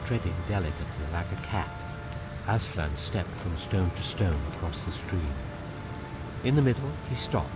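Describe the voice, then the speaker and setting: A man narrates a fantasy radio drama in a somewhat low, unhurried voice, shaping each phrase like a storyteller. A prominent musical score runs beneath his reading throughout. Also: expressive, loud music behind the voice.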